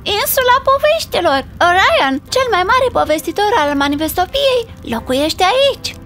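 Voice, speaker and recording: An eager cartoon fairy-tale heroine, high and very bright. She speaks emphatically with lively swings in pitch. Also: heavily acted. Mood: earnest. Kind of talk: animated fairy tale dub.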